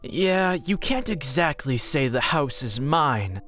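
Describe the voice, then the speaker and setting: Male actor as haughty cartoon trickster, highish male voice, scornful, sarcastic, theatrical, faint music. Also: animated, takes it slowly, a bit bright. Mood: disdainful. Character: sardonic, haughty male cookie trickster of deceit. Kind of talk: scripted fan audio drama acting.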